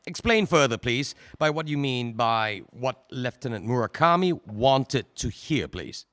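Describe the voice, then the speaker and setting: An actor playing a male prosecutor who questions a witness in a formal, probing way, with careful pauses. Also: mid-range voice, animated, a bit bright. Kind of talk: audio drama acting.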